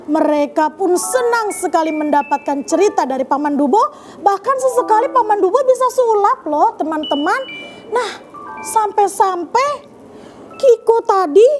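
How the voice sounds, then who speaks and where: A woman's voice: very high-pitched, expressive, a little slow, a little mellow. A woman storyteller addressing young children, narrating in an interactive way and checking in with her listeners. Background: music.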